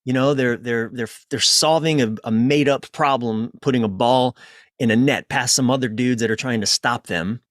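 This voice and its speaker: A man's voice: medium pitch, moderate pace, no echo, clean studio sound. A man speaking as a coach being interviewed, informal and conversational, often repeating phrases and interrupting himself. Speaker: relationship coach, interview guest.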